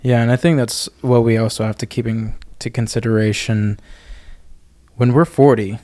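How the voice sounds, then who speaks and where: A man's voice: mid-range voice, steady tone, normal speed, dark tone, no room sound. A young man co-hosting a podcast, speaking in a casual, conversational way about faith. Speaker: podcast co-host.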